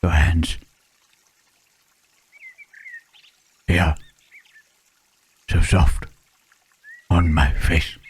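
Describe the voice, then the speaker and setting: A man voicing a gentle, self-loathing Frankenstein's monster in an ASMR roleplay, speaking in halting, formal phrases with a husky, rough voice. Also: sits low, little pitch movement, full character voice. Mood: wistful, tender. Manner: halting, formal phrasing with searching tag questions. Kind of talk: slow ASMR roleplay monologue.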